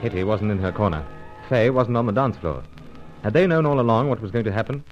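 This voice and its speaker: An actor playing an edgy secret agent in disguise, narrating and acting an old-time adventure serial in clipped, gentlemanly phrases. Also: male voice, on the low side, fast talker, lightly acted. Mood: urgent, dramatic. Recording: dull lo-fi audio, music behind.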